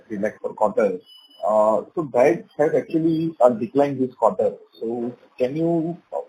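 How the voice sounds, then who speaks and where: Halting Indian analyst man asking questions. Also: medium pitch, warm tone. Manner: halting, hesitant phrasing. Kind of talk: spontaneous question on a call. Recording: faintly noisy conference phone line.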